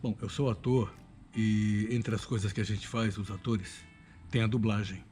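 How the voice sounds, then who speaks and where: A man's voice: fairly low pitch, fairly even intonation, normal speed, darkish tone, soft background music. An older Brazilian man, a veteran voice actor, talking in an interview. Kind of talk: interview talk. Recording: faint ambient noise.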